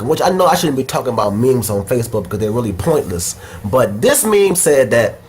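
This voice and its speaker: A young man hosting a casual podcast with friends. He talks bluntly and swears freely, and he has a head cold. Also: medium pitch. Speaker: podcast host. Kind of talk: casual uncensored chat.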